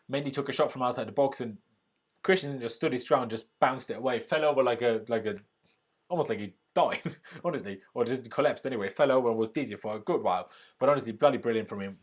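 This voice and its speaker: Brief: rapid, chatty male livestream host from Austria, cheerful fan energy, mid-pitched voice. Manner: chatty and upbeat, often says "bloody brilliant". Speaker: fan channel live stream host. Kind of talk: casual live stream chat reading donations and comments.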